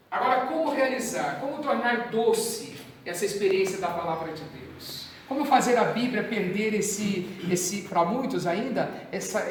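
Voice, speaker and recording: A Brazilian man from São Paulo, a priest, giving an informal training talk to parish catechists, animated and conversational with asides and jokes. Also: sits high, a little hiss or hum. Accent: Brazilian Portuguese, São Paulo. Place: parish hall. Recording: echoey parish hall from far off.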